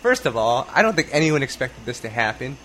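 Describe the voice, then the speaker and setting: Podcast host: American male, medium pitch, easygoing, teasing chat, slight noise in the background. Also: sing-song, fairly quick, a bit bright.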